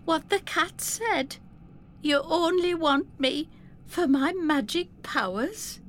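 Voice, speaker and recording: A woman voicing a determined young woman who talks crisply and resolutely to her father. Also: high-pitched, expressive, slow pace, slight background noise, voice pushed up. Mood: doubtful.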